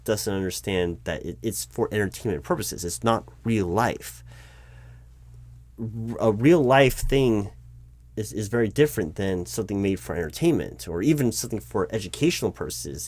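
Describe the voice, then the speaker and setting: A man playing an exasperated corporate hiring manager in a one-sided interview roleplay, talking in a rambling, halting way with stammers and self-corrections. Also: lowish voice, darkish tone. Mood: exasperated, hesitant. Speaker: roleplay performer. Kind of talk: improvised one-sided job interview roleplay, spoken aloud.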